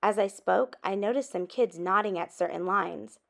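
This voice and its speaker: A woman reading a children's story aloud expressively, with dramatic emphasis and drawn-out words. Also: mid-range voice, creaky. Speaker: storybook reader.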